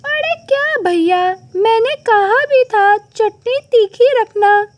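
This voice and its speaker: A woman's voice: very high voice, slow, voice pushed up. A woman voicing a rude, fussy, indignant female customer with a haughty, scolding manner in a cartoon story. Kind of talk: cartoon moral story acting.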